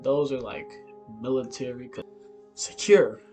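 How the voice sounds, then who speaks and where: A man's voice: medium pitch, fairly even intonation, a little slow, a little mellow. Casual man narrating over music, slightly stumbling. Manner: informal, stumbling over words, offhand asides. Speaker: YouTube video host. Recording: roomy echo, music behind. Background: background music.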